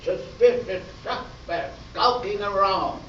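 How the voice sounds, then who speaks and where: High-pitched, deliberate police sergeant played by a man. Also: a bit bright. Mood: deadpan, businesslike. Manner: clipped, deliberate, alliterative police-procedural delivery. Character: deadpan male police sergeant narrating his case. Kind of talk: amateur stage radio play. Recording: echoey, distant, muffled room recording, faint noise.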